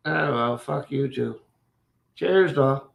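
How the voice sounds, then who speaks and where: A man in casual chat who is drinking, gruff and rambling, swearing, with halting drawn-out phrases. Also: mid-range voice, background noise.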